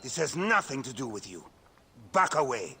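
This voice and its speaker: A man's voice: medium pitch, sing-song, normal speed, pushed and bright. A man playing a cruel, haughty temple priest in a video game, imperious and scornful, with noise behind him. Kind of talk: dramatic video game acting.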